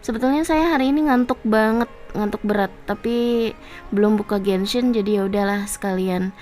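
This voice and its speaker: A woman's voice: mid-range voice, quick pace. A woman with an Indonesian accent chatting casually and politely as she livestreams a game.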